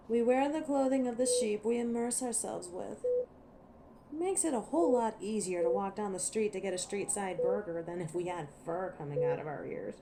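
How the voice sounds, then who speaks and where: A woman's voice: mid-range voice, noisy background, natural voice. A woman voicing a cocky, ancient werewolf in an audio roleplay, teasing and menacing with a sardonic, impatient manner. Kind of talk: scripted audio roleplay monologue to a listener.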